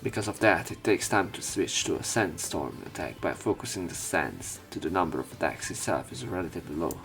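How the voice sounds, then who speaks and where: A man's voice: low voice, lively intonation, a little fast, gravelly, fairly bright tone, soft background music. A man doing a dramatic read-aloud of a visual novel as a let's play narrator, with some commentary.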